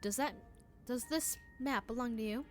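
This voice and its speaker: A woman's voice: fairly high pitch, moderate pace, soft background music. A woman streamer reading game dialogue aloud during a live playthrough, often stumbling over words.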